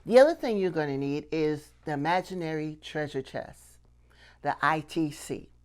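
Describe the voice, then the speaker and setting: A woman's voice: sits low, lively intonation, normal speed, darkish tone. A middle-aged woman giving a casual instructional talk to camera, conversational, often asking questions and checking in with the listener. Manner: conversational, rhetorical questions and frequent "okay?" check-ins. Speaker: puppetry instructor and channel host.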